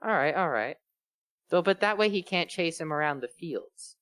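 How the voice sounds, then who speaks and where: A man's voice: a little high, expressive, normal speed, a bit bright, clean tone, dry recording. Rambling man riffing, dry close sound. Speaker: co-host reading fan fiction aloud. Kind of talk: casual chat with joking riffs.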